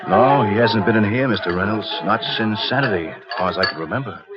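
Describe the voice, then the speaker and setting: Actor as a quick-talking bartender, muffled old radio. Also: male voice, lowish voice, lightly acted. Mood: hesitant recall. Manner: hesitant, colloquial, eager to recall. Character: helpful, hesitant male bartender, folksy informant. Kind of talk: old-time radio crime drama acting. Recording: muffled vintage radio recording, loud music.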